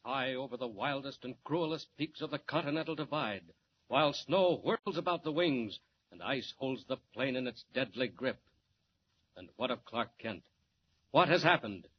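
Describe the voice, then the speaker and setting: A man's voice: medium pitch, animated, normal speed. A man narrating an old radio serial in a theatrical, suspense-building 1940s style, on a muffled, hissy recording. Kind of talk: 1940s dramatic scripted narration.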